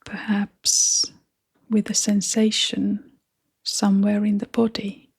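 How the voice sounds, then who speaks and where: A woman leading a guided meditation in a soft, breathy, half-whispered voice, gently and with long pauses. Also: medium pitch, completely flat delivery.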